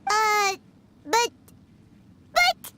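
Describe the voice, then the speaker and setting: A woman voicing a tiny, childlike female companion sprite, naive and worried, with a squeaky voice in a video game. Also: very animated, very slow, bright, forceful tone, full character voice. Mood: hesitant. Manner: speaks of herself in the third person, questioning.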